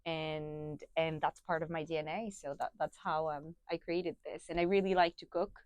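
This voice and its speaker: A young woman from France, a guest on a podcast, chatty and laughing often, with many pauses and restarts. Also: sits low, steady tone, a little mellow, clear, resonant tone, no room sound. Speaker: guest, food brand founder. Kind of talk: casual interview.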